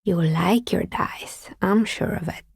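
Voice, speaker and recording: An actress playing a wry, world-weary artisan dicemaker in a video game, speaking in a dry, understated, matter-of-fact way with a husky, breathy voice. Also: female voice, lowish voice, slow pace, dry recording, whispered, full character voice. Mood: calm, assured. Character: wry, world-weary woman artisan who makes novelty dice. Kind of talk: video game character dialogue.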